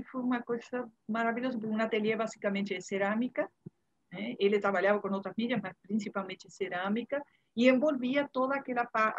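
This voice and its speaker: A woman in her fifties with a Uruguayan Spanish accent, a native Spanish speaker, reminiscing casually as an interview guest in a husky voice over a video call. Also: mid-range voice. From Montevideo, Uruguay. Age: 50s. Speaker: interview guest, curator and art history professor. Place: indoor room, video call. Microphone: earphone headset on video call. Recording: dull lo-fi video call audio.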